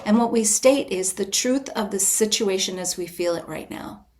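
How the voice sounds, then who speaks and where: A woman guest on a podcast interview, a writer and retreat leader, explaining her approach in a calm, invitational, measured way with frequent restarts. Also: mid-range voice, a little hiss or hum. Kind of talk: reflective interview answer.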